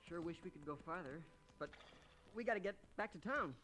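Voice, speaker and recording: An actor playing an eager, hot-tempered young cowboy deputy in an old-time radio western. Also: male voice, high-pitched, lively intonation, a little fast, pushed and bright. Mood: earnest. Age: young adult. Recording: noisy old radio recording.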